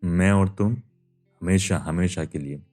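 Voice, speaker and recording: A man's voice: low voice, slow pace, a little mellow, faint music behind. A man playing a protective, aggrieved young husband in a Hindi cartoon story, earnest in delivery. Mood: tender, resolute.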